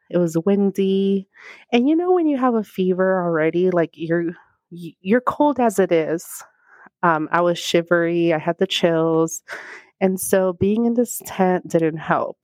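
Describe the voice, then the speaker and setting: A woman hosting a solo podcast, her voice scratchy as she recovers from illness, rambling chattily with lots of fillers while alone in a quiet office on a small handheld mic. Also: on the low side, no echo. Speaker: podcast host. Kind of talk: casual solo podcast chat. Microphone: small handheld microphone.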